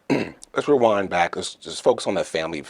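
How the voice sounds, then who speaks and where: A man's voice: low-pitched, expressive, normal speed, vocal fry. A man hosting an interview, reading a scripted guest introduction and then chatting casually with short affirmations. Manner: friendly, affirming, frequent "okay" and "awesome". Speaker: interview host.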